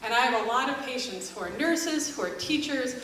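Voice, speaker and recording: A woman's voice: high-pitched, lively intonation, a little fast, a crowd in the background. A middle-aged American woman, a family doctor, giving a sarcastic, exasperated live speech to an audience. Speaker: family doctor speaking as an activist. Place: live concert event in a church. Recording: echoey hall from far off, noisy.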